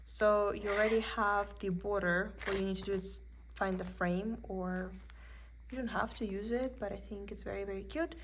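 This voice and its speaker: A woman's voice: mid-range voice, slight background noise. A young woman from Russia with a Russian accent, chatting casually and thinking aloud as she shows off her cross-stitch haul at a table. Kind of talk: casual show-and-tell haul. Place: indoors at a table.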